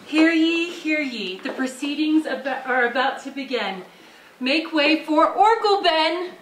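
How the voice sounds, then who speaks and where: Woman as ceremonious elf crier, extremely high voice, calling out, noisy stage recording. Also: expressive, a little slow. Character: ceremonious elf court crier announcing proceedings. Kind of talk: theatrical stage proclamation. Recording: echoey theatre, clearly noisy.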